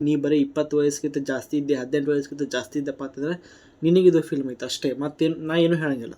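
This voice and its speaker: Mellow higher-voiced man, North Karnataka accent. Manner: blunt, colloquial, combative. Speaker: YouTube commentator. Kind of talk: opinionated reaction commentary.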